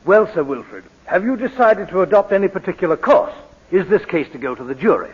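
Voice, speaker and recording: An actor playing a stern British judge, formal and commanding, in a 1940s radio drama. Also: male voice, sits high, lively intonation, fairly quick, noisy background. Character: stern, authoritative British judge presiding in court. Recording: dull, lo-fi 1940s radio recording.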